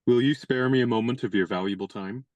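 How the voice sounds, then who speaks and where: A gallant young gentleman, played by a man, courteous and gently wry, with a mid-range voice. He speaks in measured, polite turns as in a radio play. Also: medium pitch, lightly acted.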